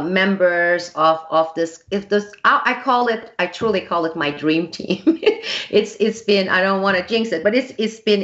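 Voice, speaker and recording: A woman hosting an interview, talking in a halting way with many restarts. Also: on the low side, fairly bright tone, dull audio. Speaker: interview host. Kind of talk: conversational interview.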